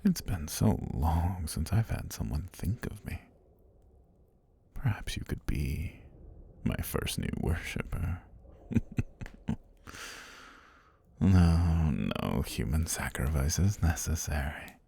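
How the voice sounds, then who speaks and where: A man performs an ancient male serpent god with a low, dark voice. He speaks in formal, whispery phrases that rise and fall, intimate as ASMR. He is calm and tender, with a predatory edge. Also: exaggerated voice. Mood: softly menacing. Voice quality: husky, breathy low voice. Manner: slow, deliberate, formal speech with whispery delivery. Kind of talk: intimate ASMR roleplay monologue.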